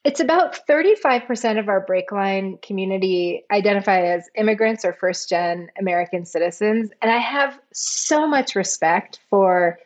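A woman's voice: medium pitch, normal speed, hardly any pauses, highs cut off. Upbeat woman hosting a podcast. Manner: upbeat, enthusiastic. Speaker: podcast host. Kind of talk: scripted intro and interview hosting.

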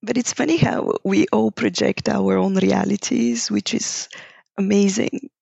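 A woman talks slowly and thoughtfully, often pausing to search for the right word. Her low voice is gravelly and husky, with a flat, even melody. The recording is dry and dull. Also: dry recording. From Bulgaria. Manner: thoughtful, searching for words, laughs lightly. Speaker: guest, yoga teacher. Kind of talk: reflective interview conversation.

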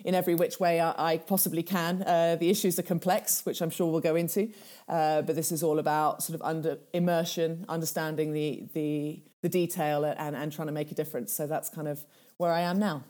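A woman's voice: deep voice, level tone, a little fast, dark tone. A woman in her thirties from Kenya, a charity founder talking as an interview guest in a thoughtful way, with many fillers and restarts. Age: 30s. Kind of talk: casual interview talk.

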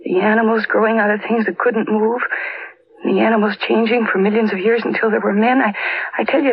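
An actress playing a frightened, earnest young wife in an old-time radio drama, halting and pleading in her delivery. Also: fairly high pitch, slightly rough. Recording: noisy, dull old radio recording.

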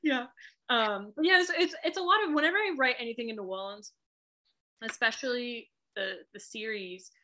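A woman's voice: a little high, normal speed, pushed and bright. A woman from New England, a chatty author guest talking casually with frequent laughs and fillers. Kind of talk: casual chat.

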